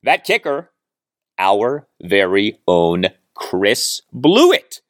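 A man hosting a sports podcast solo, speaking in emphatic, deliberate phrases with heavily stressed words. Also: lowish voice, wildly swooping pitch, dry recording, clean studio sound. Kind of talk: sports commentary monologue with read-out listener mail and ad reads.

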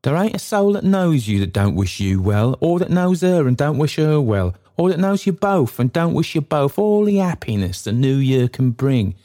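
A man's voice: a little high, big pitch swings, moderate pace, hardly any pauses, warm tone, voice pushed up. A man voicing a jovial drummer giving a hearty, plain-spoken speech of good wishes.

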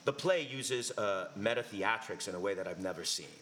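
An effusive man talks as a guest on a live podcast taping, his voice bright and forceful, a little low in pitch. He gushes and trips over restarts as he searches for words. Faint room ambience sits behind him. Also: lively intonation, brisk, a little hiss or hum. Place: live event venue with audience.

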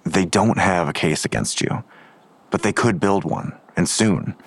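A man's voice: low-pitched, sing-song, measured pace, vocal fry, husky, no room sound, exaggerated voice. An actor playing a blunt, world-weary father in an audio drama, dry and clipped in delivery. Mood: dry, resigned.

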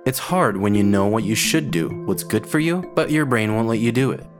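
A man reads a scripted podcast advertisement. His voice sits on the low side, with clear music underneath. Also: animated, a little fast. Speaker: podcast ad reader. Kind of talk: scripted sponsor read.

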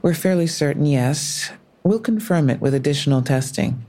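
Low, mellow, composed policewoman. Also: expressive. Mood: calm, careful. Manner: measured, formal, careful. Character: composed, sympathetic female police officer. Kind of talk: full-cast audio drama acting.

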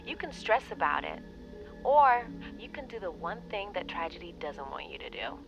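A woman's voice: fairly low pitch, very animated, normal speed, vocal fry. An actress playing a blunt, encouraging female confidante in an audio drama, heard through a phone-like filter. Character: blunt, life-affirming female confidante giving advice. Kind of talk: audio fiction acting. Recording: dull band-limited sound, faint music.

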